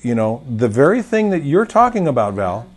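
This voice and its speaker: A man's voice: mid-range voice, big pitch swings, moderate pace, darkish tone, slightly rough. A man speaking as an interview guest, telling anecdotes casually in a folksy, emphatic way and acting out dialogue. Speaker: gun store owner, interview guest. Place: inside his gun store.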